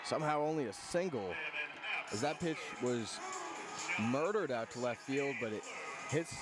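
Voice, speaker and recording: A man's voice: medium pitch, lively intonation, a little slow, over loud music, a loud crowd. A man calling live baseball play-by-play on the radio in clipped phrases, following the action as it unfolds.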